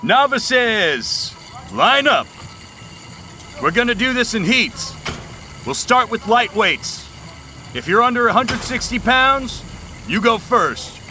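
A man's voice: very high voice, big pitch swings, slow pace, long pauses, bright, forceful tone, soft background music, exaggerated voice. A man playing a brash, contemptuous young college rowing captain in an audio drama, barking and taunting with a commanding manner. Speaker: team captain.

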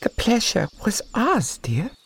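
A woman playing a kindly, protective old guardian in a fantasy audio drama, with a husky voice. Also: medium pitch, big pitch swings, slow, heavily acted, low-quality recording. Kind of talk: animated audio drama acting.

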